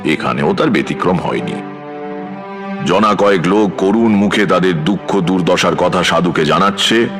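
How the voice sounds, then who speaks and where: A man with a husky voice narrating a scripted dramatic story in the first person, in a measured storyteller's delivery. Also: sits low. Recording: music bed behind voice. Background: background music.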